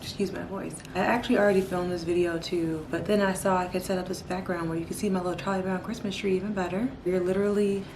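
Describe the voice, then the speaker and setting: A young woman who works as a travel nurse, hoarse, telling a slangy, casual story straight to her viewers from home. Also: lowish voice, steady tone, fast, few pauses, echoey room, background noise. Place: indoors at home.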